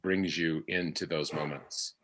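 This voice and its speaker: A man in a casual discussion as an actor guest, speaking in a halting, thoughtful way. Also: rumbling low, fairly even intonation, fairly bright tone.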